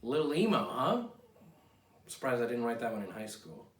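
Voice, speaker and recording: A man's voice: mid-range voice, very animated, normal speed. An American man in his thirties talking casually as a writer presenting his own work, rambling in a wry, self-deprecating manner. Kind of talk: casual rambling vlog with poem readings. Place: small home office, indoors. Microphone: camera mic a few feet away. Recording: roomy echo, distant mic, clear noise.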